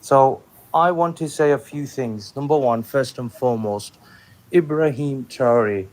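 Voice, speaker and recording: A man from the UK calling into a panel, speaking in a friendly, measured way. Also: mid-range voice, darkish tone, low-quality recording. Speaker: caller.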